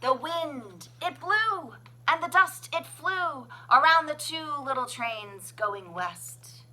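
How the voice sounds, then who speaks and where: A woman reading a children's picture book aloud as a librarian, with expressive, sing-song, deliberate phrasing. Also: sits high. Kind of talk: children's storybook read-aloud.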